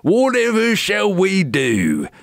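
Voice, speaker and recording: A man voicing rough, hearty storybook pirates with arr-ing sea-dog talk. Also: high voice, wildly swooping pitch, slow, no echo, studio quality, pitched above the performer's own voice, heavily acted. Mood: perplexed. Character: hearty rough male pirates and their captain.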